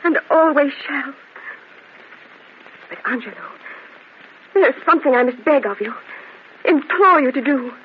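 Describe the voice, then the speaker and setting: An actress in an old-time radio drama playing an anguished, devoted wife of a cruel husband, speaking with melodramatic pleading. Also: female voice, high-pitched, animated, a little slow, long pauses. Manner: melodramatic, breathless pleading and exclaiming. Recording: muffled, noisy old radio drama recording.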